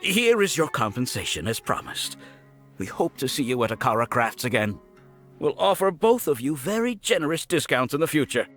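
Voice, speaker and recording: A man's voice: medium pitch, very animated, normal speed, fairly bright tone, faint music behind. A man voicing a polite, grateful shop merchant in a video game, courteous and formal.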